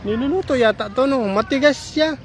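A man's voice: very high-pitched, brisk, a faint crowd, slight background noise. A man from Samar vlogging casually on a phone as he walks through a park by a pond, chatting and exclaiming to his viewers. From Samar, Philippines. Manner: chatty, excited exclamations, calls viewers "idol". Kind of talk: casual walking vlog, talking to viewers. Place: outdoor park by a pond, daytime.

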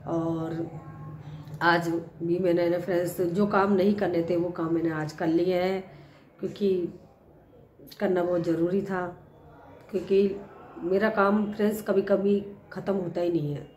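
Hoarse woman vlogging from her rooftop. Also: fairly low pitch, steady tone, unhurried, pauses often, darkish tone. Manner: chatty, repeats "friends" often. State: hoarse from a cold. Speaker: gardening channel host. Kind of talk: casual vlog talk to viewers. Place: home rooftop terrace with plants, outdoors. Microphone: phone held at arm's length.

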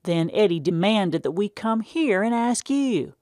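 A stern old country farmer, as a woman narrator puts him on, talking in plain folksy speech. He is raised and firm, louder than the reading voice. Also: medium pitch, lively intonation, a little slow, dry recording, clean studio sound, pitched above the performer's own voice, close to an everyday voice.

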